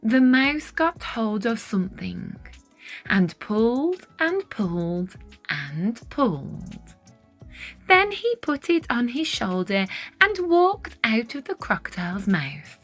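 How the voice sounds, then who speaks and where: A woman reading a children's picture book aloud in an expressive, deliberate storytelling style with dramatic stress on key words. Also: fairly high pitch, soft background music.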